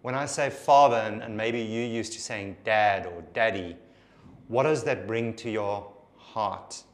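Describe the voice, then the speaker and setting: A man in his forties from South Africa with an Afrikaans accent, giving an earnest, intimate sermon to camera in a bare studio. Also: lowish voice. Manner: earnest, intimate, slow and reflective. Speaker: pastor.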